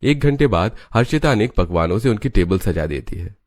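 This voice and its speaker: Deep-voiced man narrating a story. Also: animated, a little fast, darkish tone.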